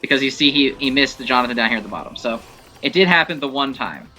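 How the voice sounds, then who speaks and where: Man, bright voice, mid pitch, casual game commentary, animated, informal, rambling. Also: fast talker, faint music behind, poor audio. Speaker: gameplay showcase host.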